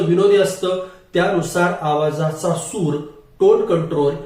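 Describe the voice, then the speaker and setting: A man giving a formal, explanatory lesson as a public speaking coach, in measured teacher-like phrasing. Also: fairly high pitch, darkish tone. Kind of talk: instructional lecture to camera. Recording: very echoey room, distant microphone.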